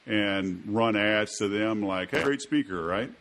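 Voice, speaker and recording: A man's voice: lowish voice, unhurried, fairly bright tone. A man hosting a podcast interview, chatting loosely and throwing in jokes. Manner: loose, chatty, joking asides. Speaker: podcast host. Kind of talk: casual interview chat.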